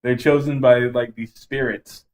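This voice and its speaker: A man's voice: medium pitch, fairly even intonation, unhurried, a little mellow. Enthusiastic, rambling man chatting casually. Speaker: podcast co-host. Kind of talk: casual chat, ranking favorite game movies.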